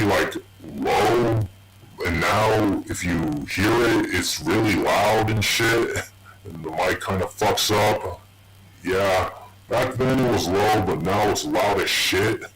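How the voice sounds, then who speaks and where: A man rambling casually and swearing heavily through a low voice changer, recorded on a loud, distorted microphone. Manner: heavy swearing. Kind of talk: rambling casual personal monologue.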